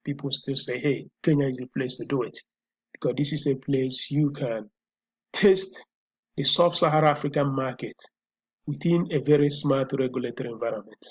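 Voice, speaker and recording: A man's voice: mid-range voice, normal speed, slightly rough. A man with a Nigerian accent hosting a solo commentary show, thinking aloud with frequent repeats and restarts. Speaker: host.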